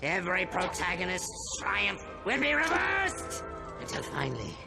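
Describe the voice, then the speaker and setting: A man voicing a vengeful robot supervillain who rants bombastically, with loud game music under him. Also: sits high, wildly swooping pitch, measured pace, exaggerated voice. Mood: ranting. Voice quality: gravelly, bombastic theatrical ranting.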